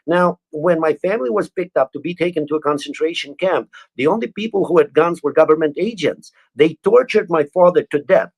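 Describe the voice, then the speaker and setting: A man's voice: fairly high pitch, a little fast, gravelly, hardly any pauses, darkish tone, breathy edge, rough recording. A middle-aged man from Albania with an Albanian accent, speaking earnestly as an interview guest and often restarting words. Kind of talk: interview answers.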